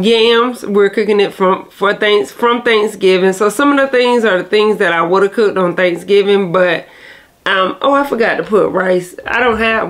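Chatty nasal woman vlogger. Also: mid-range voice. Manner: rambling, chatty, self-correcting. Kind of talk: casual vlog chat to camera.

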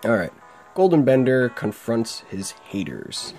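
A man's voice: medium pitch, big pitch swings, measured pace, a little mellow. A young man with a sore throat chatting casually through a game, reading its lines aloud. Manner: chatty, laughs while reading. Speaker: game let's play host. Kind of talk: casual gameplay commentary, reading dialogue aloud. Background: faint game music.

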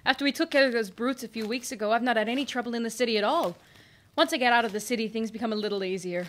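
A gentle, independent woodland elf woman played by an actress in a fantasy audio drama. Her voice is fairly high, and she speaks in a polite, measured manner. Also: natural voice. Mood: friendly, assured.

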